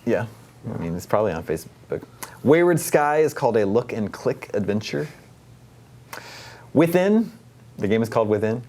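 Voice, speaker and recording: A man's voice: on the low side, very animated, normal speed, long pauses, a little mellow. A man talking casually about video games as a panel show host. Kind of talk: casual video game discussion.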